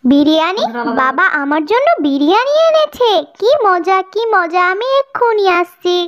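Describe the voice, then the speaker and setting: A woman's voice: very high-pitched, big pitch swings, moderate pace. A woman voicing a sweet, youthful village mother and daughter in a cartoon fairy tale. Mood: cheerful, caring. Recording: dull lo-fi sound.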